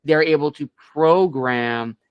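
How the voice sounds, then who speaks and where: Smooth-voiced man hosting interview. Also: fairly high pitch, takes it slowly, no echo, lo-fi sound. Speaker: podcast host.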